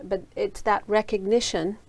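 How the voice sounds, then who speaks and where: A woman's voice: medium pitch, steady tone, normal speed. A woman hosting a conversation in a university studio, asking reflective follow-up questions. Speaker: host. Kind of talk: conversational interview.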